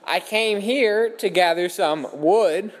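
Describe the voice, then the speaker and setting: A man's voice: high voice, takes it slowly. A man reading a Bible story aloud from a script as a dramatic narrative. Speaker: scripture reader. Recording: echoey hall with long reverb.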